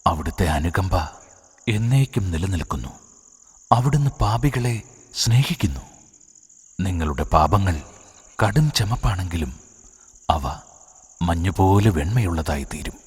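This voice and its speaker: Deep-voiced, earnest priest. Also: male voice, lively intonation, unhurried, pauses often, a little mellow, slightly rough, heavily acted. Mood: solemn pleading. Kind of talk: slow, solemn preaching in a cartoon dub.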